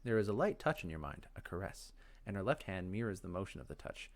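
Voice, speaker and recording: A man reading fantasy game text aloud as dramatic narration, in a measured, expressive storytelling manner. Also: low-pitched. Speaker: let's play host.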